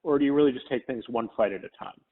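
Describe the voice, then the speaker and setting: A man's voice: mid-range voice, fast talker. A male reporter politely putting questions at a press conference over a telephone. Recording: crackly narrow phone line.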